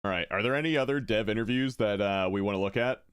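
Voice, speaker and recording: A man talks casually over a live stream, excitable and loose, dropping fillers as he comments on what he watches. His voice sits a little low and comes through smooth and resonant. The sound is dry and close. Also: fast, dry recording. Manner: excitable, chatty, many fillers. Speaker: streamer reacting to videos.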